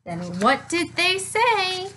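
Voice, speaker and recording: A woman in her thirties reading a picture book aloud to children, with expressive, deliberate, emphatic phrasing. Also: very high voice, slight background noise. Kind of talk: children's read-aloud storytelling.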